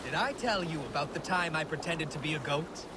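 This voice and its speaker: An actor playing a boastful, earthy Viking crewman spinning teasing yarns with dramatic pauses in a video game. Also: fairly high pitch, close to an everyday voice. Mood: amused storytelling. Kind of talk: leisurely storytelling in a video game. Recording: noisy boat and water ambience.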